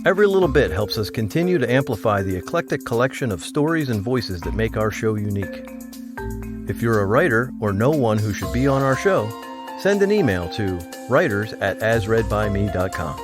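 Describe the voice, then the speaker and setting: Animated male podcast host over music. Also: fairly low pitch, moderate pace. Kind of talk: scripted podcast outro. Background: music with marimba.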